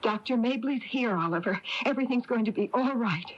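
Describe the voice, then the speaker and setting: An actress playing an anxious, fretful small-town married woman in a 1980s radio drama, with halting, flustered delivery. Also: on the high side, noisy background, lightly acted. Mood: frightened, tearful. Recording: dull lo-fi AM radio tape.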